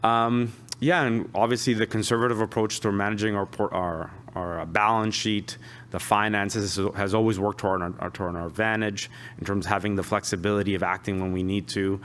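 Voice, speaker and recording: Low-voiced Peruvian executive summing up. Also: male voice, fairly even intonation, a little fast, a bit bright. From Peru. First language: Spanish. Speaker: finance executive presenting. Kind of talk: investor presentation.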